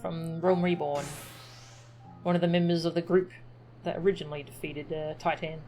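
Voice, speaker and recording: A woman's voice: sits low, steady tone, normal speed, soft background music. Casual woman streaming a game. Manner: casual, wry asides, laughs softly.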